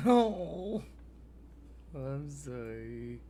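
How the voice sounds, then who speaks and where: Man, live streamer, casual chat, playful, laughs a lot, very animated pitch, slow delivery. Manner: laughs often, playful and joking.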